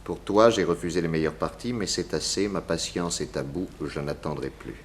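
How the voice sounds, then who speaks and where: An actor playing a methodical, probing detective who questions in a measured, pointed way, in 1960s radio drama style. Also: male voice, low voice, a little hiss or hum, close to an everyday voice. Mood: stern.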